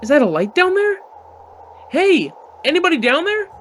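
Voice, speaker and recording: A man's voice: very high voice, very animated, normal speed, a bit bright, a little hiss or hum, exaggerated voice. A man acting in a radio play as an easygoing, bold driver with a colloquial, offhand way of talking. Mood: searching, uneasy. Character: easygoing male driver and pilot, bold, folksy.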